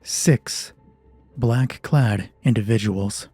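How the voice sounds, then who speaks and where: A man narrates a dramatic horror roleplay in a mellow, husky voice. His phrasing is measured and deliberate, and the recording is dry, with some music underneath. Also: fairly low pitch, no echo. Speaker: host and narrator of a solo roleplay channel.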